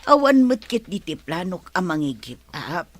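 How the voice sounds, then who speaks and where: A woman's voice: fairly low pitch, big pitch swings, normal speed. A woman with an Ilocano Filipino accent playing a concerned, practical middle-aged village mother in a radio drama.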